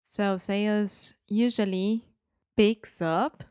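Unhurried woman, laughs often. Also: medium pitch, steady tone, darkish tone, smooth, no echo, clean studio sound. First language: Portuguese. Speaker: podcast co-host, learner. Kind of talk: casual bilingual lesson chat.

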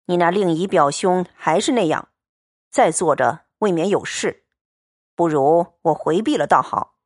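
A woman's voice: on the low side, animated, measured pace, dry recording, clean studio sound, pitched below the performer's own voice. A woman voicing a proud, cold young swordsman who speaks curtly and with a sneer. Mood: cool, curt. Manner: curt, coldly sneering.

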